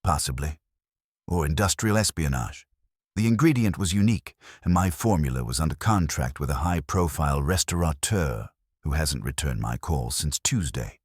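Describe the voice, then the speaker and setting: Man playing stiff, earnest chemist, low voice. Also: animated, normal speed, breathy edge, no echo, clean studio sound, exaggerated voice. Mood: formal, anxious. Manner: formal, stiff. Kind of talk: detective audio drama.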